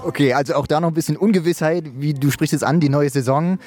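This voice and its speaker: A man's voice: on the high side, fast, a little mellow. A man hosting a sports podcast, asking questions in a friendly, hesitant way with frequent filler sounds. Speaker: podcast host, interviewer. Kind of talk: casual podcast interview.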